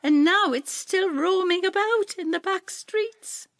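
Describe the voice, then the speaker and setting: A woman voicing an excitable, easily flustered old lady in an audio story. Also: takes it slowly, dry recording, full character voice. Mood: gloomy. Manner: shrill, flustered.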